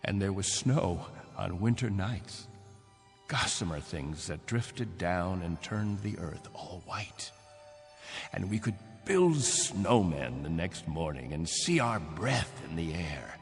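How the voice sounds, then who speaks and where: An old-ish wistful space captain in a radio play, low slow voice, dramatic pauses. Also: male voice, lively intonation, vocal fry, full character voice. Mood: wistful, nostalgic. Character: aging spaceship captain, wistful leader of stranded colonists. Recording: long reverb, music underneath.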